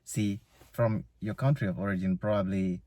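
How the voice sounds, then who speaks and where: A man's voice: on the low side, steady tone, normal speed, dark tone. A young man from Uganda, talking casually to camera in a loose vlog full of "you know" fillers. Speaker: vlogger. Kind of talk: casual solo vlog monologue.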